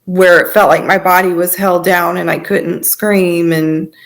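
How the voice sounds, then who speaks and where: A woman telling her personal story as a podcast guest, in a conversational, earnest and halting way. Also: lowish voice, steady tone.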